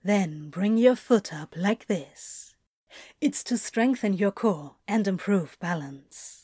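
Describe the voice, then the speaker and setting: A woman voicing an easygoing, teasing male yoga teacher in audio fiction, gentle, reassuring and playful. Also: medium pitch, animated, slow, husky, dry recording, clean studio sound. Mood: patient, instructing. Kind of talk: audio fiction character acting.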